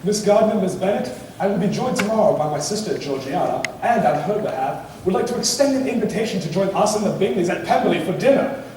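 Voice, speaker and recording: A man playing an awkward, courteous young English gentleman in an amateur stage play, with formal and halting speech. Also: high-pitched, animated. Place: stage in a theatre or hall, distant microphone. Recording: echoey theatre hall from far off, faint noise.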